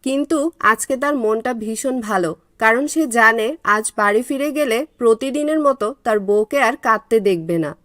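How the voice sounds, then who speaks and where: A woman's voice: a little high, normal speed, fairly bright tone, no echo. A woman giving scripted storybook narration as the narrator of a cartoon.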